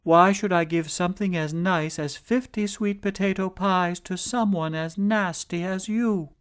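A man's voice: measured pace, clean tone. A male storyteller voicing a brave, clever wife who bakes pies, in a raised voice. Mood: defiant. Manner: high-pitched, pleading then firm. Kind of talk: storytelling performance.

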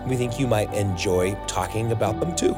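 A man's voice: lowish voice, normal speed, over loud music. A man hosting a podcast in a conversational presenting style. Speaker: podcast host.

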